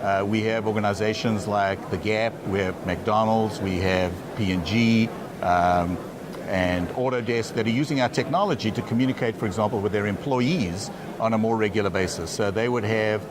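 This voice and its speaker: A man's voice: on the low side, fairly even intonation, normal speed, crowd noise. A man talking as a company executive in a trade show interview, often stumbling and repeating words at the start of his phrases.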